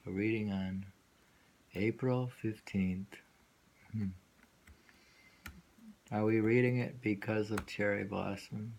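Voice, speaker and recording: A man reading poetry aloud and discussing it informally, in a musing, halting way that lingers and repeats lines. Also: fairly low pitch, steady tone, gravelly, a little mellow. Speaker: poetry reader and host.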